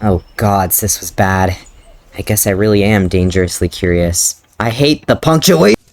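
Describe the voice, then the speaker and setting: A man's voice: lowish voice, normal speed, no room sound. Amused man reading his old fanfic. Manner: amused, self-mocking, often laughing. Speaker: fanfiction reader and commentator. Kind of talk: dramatic reading with comic commentary.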